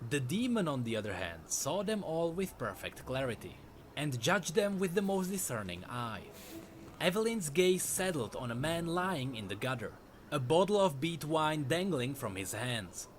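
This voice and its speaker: A man narrating a dark fantasy story from a script in a dramatic storytelling style. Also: a little high, very animated, moderate pace, slight background noise. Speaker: lore narrator.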